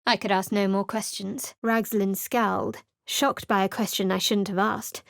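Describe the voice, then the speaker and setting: An actress plays a guarded young cleric who keeps her secrets close, her voice cool and measured over a dry, close studio sound. She narrates and comments with dry restraint, at an ordinary, middling pitch for a woman. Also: steady tone, gravelly, no echo, close to an everyday voice. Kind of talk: game voice acting.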